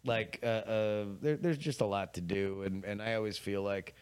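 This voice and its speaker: A man's voice: fairly low pitch, fairly even intonation, normal speed, smooth. A man co-hosting a casual chat podcast, rambling and trailing off.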